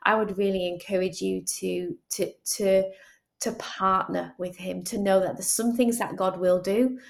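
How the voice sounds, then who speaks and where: A woman's voice: mid-range voice, steady tone, measured pace, vocal fry. A woman guest speaking earnestly about faith and healing, often restarting phrases as she thinks aloud. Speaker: guest, charity chief executive. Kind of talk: reflective faith conversation.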